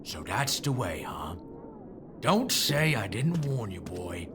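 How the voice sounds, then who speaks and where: A man's voice: lowish voice, sing-song, slow. Guy playing a menacing heavy, snarly, lots of swing in the voice, some hiss in the background. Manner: gruff, threatening, shouting. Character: menacing male thug threatening youths.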